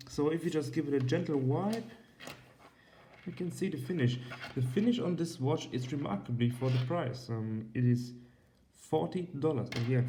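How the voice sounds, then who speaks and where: A man's voice: mid-range voice, warm tone, a little hiss or hum. A young man in his twenties with a German accent, hosting a casual watch review vlog, rambling with many ums and casual swearing. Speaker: watch and cigar channel host. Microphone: iPad.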